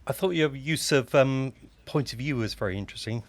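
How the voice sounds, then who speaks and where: A man's voice: mid-range voice, brisk. A man interviewing a guest with considered, conversational questions.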